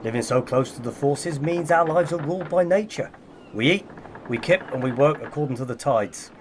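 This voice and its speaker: An actor playing a hearty, proud fishing-boat skipper with a bluff Cornish accent in a radio play. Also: male voice, a little high, animated, moderate pace, close to an everyday voice. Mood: proud, indignant. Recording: noisy boat ambience behind voice.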